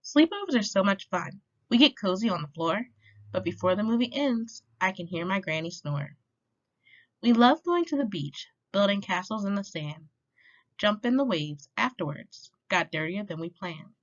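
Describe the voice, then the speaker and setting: Female storytime reader, expressive rhyming picture-book delivery, animated intonation, slightly muffled low-fidelity recording. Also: normal speed. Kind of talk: children's picture book read-aloud. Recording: dull lo-fi sound.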